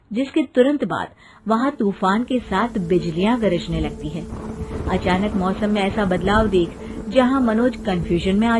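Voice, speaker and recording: A woman's voice: mid-range voice, a little mellow, slight background noise. A woman reading scripted narration for a storybook tale as its narrator.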